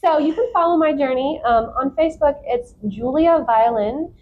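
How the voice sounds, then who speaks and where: A woman's voice: high-pitched, normal speed, narrow, tinny audio. A woman chatting casually and enthusiastically as an interview guest, in an informal way. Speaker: podcast guest, content creator.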